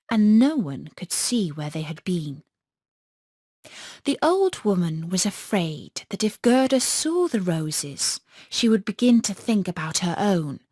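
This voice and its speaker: A woman narrating a children's fairy tale as an audiobook, in an expressive storytelling manner. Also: mid-range voice, dry recording, studio quality.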